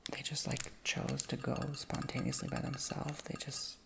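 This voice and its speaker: A woman whispering casually in a show-and-tell video, rambling with lots of fillers and slowly reading out labels. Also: rumbling low, flat delivery. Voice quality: soft whisper with creaky edges. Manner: reads names and titles slowly.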